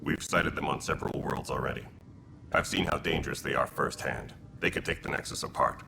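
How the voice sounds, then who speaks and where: An actor playing a gruff, dutiful alien militia leader in a video game, speaking with blunt military terseness in a gravelly voice. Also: male voice, steady tone, brisk, very noisy. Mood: grim. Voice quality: gravelly, very deep.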